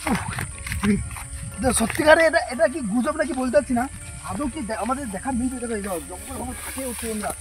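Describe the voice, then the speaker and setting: A man hosting a ghost-hunting vlog outdoors in the woods at night, jittery and excitable, repeating words. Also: very high voice, quick pace, music in the background, rough recording. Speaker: vlogger host.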